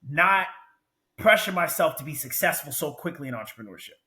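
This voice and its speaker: A man's voice: on the high side, animated, brisk, sharp tone. A man in his twenties hosting a casual interview, speaking informally and emphatically with repeated phrases. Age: 20s. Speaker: podcast host.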